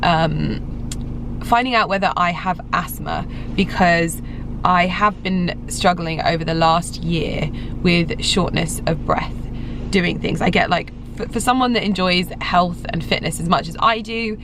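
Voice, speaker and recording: A young English woman vlogger in a casual, rambling update, her voice slightly croaky after being ill. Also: lowish voice, unhurried, background noise. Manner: chatty, rambling, frequent restarts and fillers. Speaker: lifestyle vlogger. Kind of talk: casual vlog update to camera.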